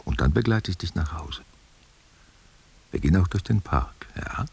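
A man's voice: low-pitched, lively intonation, moderate pace, vocal fry, slightly rough, in a whisper, full character voice. An actor playing an aging, wistful stage actor who tenderly courts a younger woman, musing and questioning in an intimate radio play. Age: older.

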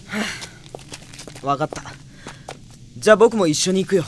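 A male actor playing a gentle, cautious young mage in an audio drama, soft-spoken, earnest and considerate. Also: sits high, expressive, moderate pace, heavy background noise. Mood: worried, cautious. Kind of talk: Japanese anime audio drama acting.

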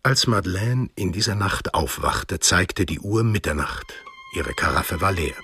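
A man narrating a scripted radio drama in a measured, literary storytelling manner, with a deep, husky voice. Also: animated, bright, forceful tone, dry recording, clean studio sound.

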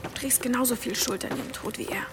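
A woman's voice: fairly high pitch, steady tone, fast, loud music behind the voice, lightly acted. An actress playing a wounded, defiant young pagan village woman in a German radio play, intense and accusing in her delivery. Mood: bitter, accusing. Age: young adult.

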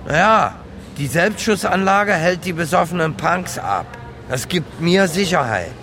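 A man's voice: fairly high pitch, animated, unhurried, sharp tone, slight background noise, heavily acted. A man playing a modest, plain-spoken homeless beggar giving interview-like testimony in a radio drama. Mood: matter-of-fact.

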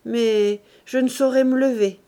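A woman's voice: on the high side, steady tone, unhurried, warm tone, close to an everyday voice. A long-suffering, dying mother from a classic novel , voiced by a woman reader. She beseeches her husband plaintively in a raised voice, frail and sorrowful. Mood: weak, sorrowful.

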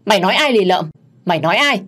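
A woman's voice: lowish voice, expressive, moderate pace, a little mellow. A woman voicing a haughty, spiteful young female rival in an audio story, sneering and insulting. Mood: outraged.

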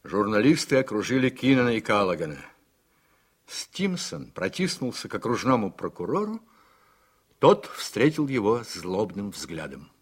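Lowish-voiced male radio drama narrator. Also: sing-song, normal speed, breathy edge.

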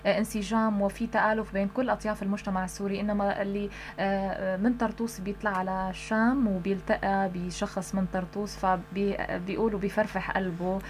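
A woman hosting a radio interview, asking brisk, leading questions. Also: medium pitch, flat delivery, a little hiss or hum. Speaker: radio host.

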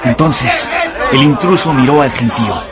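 A man's voice: mid-range voice, lively intonation, normal speed, over a noisy crowd, lightly acted. A man narrating a radio drama as a dramatic storyteller reading scripted prose.

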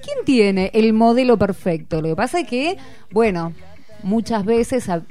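A woman's voice: fairly high pitch, very animated, measured pace, mellow. A woman with an Argentine accent hosting a school radio show, coaxing children with encouraging questions. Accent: Argentine Rioplatense Spanish. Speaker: radio host talking with schoolchildren. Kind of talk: casual classroom radio chat.

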